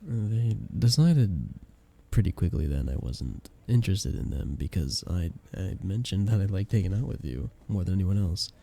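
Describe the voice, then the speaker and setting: A young man acting a flustered best friend with a hidden crush in an intimate ASMR romance roleplay, soft-spoken, casual and hesitant. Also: sits low, big pitch swings, moderate pace, vocal fry, few pauses, full character voice. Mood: bashful, confessing.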